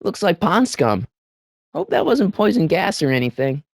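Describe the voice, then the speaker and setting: An actor playing an excitable, good-hearted teenage boy in an adventure video game, with hesitant stammers and an incredulous way of questioning things. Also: sits high, normal speed, gravelly, dry recording, clean studio sound, exaggerated voice. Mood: musing, curious. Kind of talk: animated video game voice acting.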